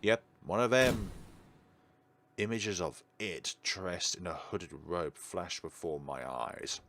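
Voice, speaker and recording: A man's voice: low voice, slow, a bit bright. A man hosting a visual novel playthrough, reading the text aloud and mixing in casual, offhand remarks. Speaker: host of a game playthrough.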